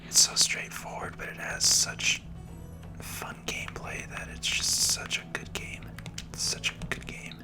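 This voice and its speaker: A person whispering soft, soothing, breathy ASMR commentary while playing a video game. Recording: game music behind the voice. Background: video game music.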